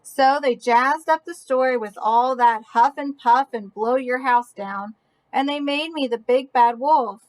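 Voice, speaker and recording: A woman's voice: sits high, a little slow, poor audio. A woman voicing an indignant male wolf who tells his side of a children's storybook tale in a folksy, mock-innocent way. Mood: wronged, pleading. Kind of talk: children's picture book read-aloud.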